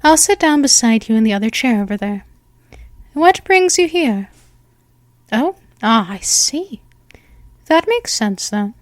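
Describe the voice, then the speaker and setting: A woman's voice: fairly high pitch, dry recording. A woman voicing an ancient, seductive female vampire mistress in a hypnosis roleplay, talking in a soothing, coaxing way. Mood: curious, aloof.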